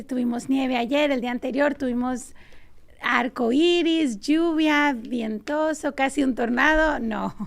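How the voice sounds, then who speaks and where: A woman's voice: high-pitched, vocal fry. A woman hosting a casual morning show, chatting and interviewing in an encouraging, friendly way. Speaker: host of a local morning show.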